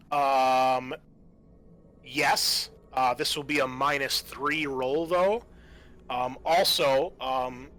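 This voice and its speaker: A man acting as game master, narrating a tabletop roleplaying game to friends and explaining rules in a halting way. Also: medium pitch, sharp tone. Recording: faint music behind the voice.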